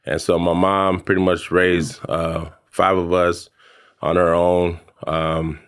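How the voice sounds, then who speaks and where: Guy in his 30s from american samoa, real low voice, lots of um and you know. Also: flat delivery, clean tone. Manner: halting, full of fillers and restarts. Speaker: podcast guest. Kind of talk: casual interview chat.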